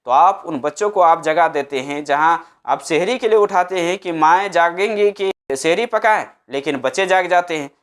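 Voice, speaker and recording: Male preacher, slightly high smooth voice, didactic religious guidance with emphatic repetition. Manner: didactic, emphatic, repeats his points in short phrases. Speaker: Islamic preacher answering a religious question. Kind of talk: religious advice talk.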